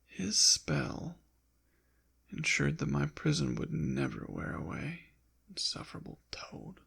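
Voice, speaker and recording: A man playing a suave, possessive ancient demon in an intimate ASMR roleplay, teasing and haughty in formal, old-fashioned wording, with a breathy, often whispered, gravelly voice. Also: fairly high pitch, slow pace, fairly bright tone, full character voice. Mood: smug, quietly menacing.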